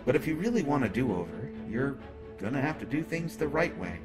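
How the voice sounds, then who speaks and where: A man playing a flirtatious, teasing superhero who is secretly smitten, in a halting, playful conversational way for a roleplay audio drama. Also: mid-range voice, big pitch swings, over music, close to an everyday voice. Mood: flirty, playful. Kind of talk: roleplay audio drama, acted monologue to listener.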